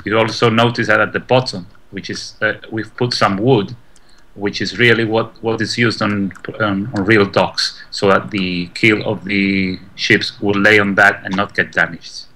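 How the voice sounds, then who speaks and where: A man with a Gibraltarian accent, explaining a game build haltingly, with many fillers. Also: lowish voice, little pitch movement, pushed and bright, poor audio. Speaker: gaming video co-host. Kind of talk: casual tutorial chat.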